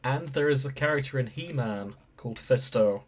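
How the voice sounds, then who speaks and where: A man's voice: medium pitch, moderate pace. A man co-hosting a podcast in casual chat, joking in a dry way. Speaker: podcast co-host.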